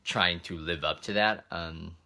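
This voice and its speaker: A man's voice: sits low, fairly even intonation, measured pace, vocal fry, sharp tone. A man who hosts travel videos, chatting casually in a live question-and-answer session, informal and rambling as he thinks aloud. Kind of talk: casual livestream Q&A, answering read-out comments.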